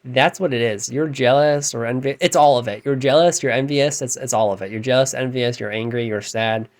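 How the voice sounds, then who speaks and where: A man in his thirties talks in a light, androgynous voice pitched right in the middle. He rants in a frustrated, self-deprecating way, hushed because it is night, with sudden loud bursts. He keeps restarting his sentences and letting them trail off. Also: a little fast. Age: 30s. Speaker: musician and vlogger. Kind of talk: rambling late-night rant to camera.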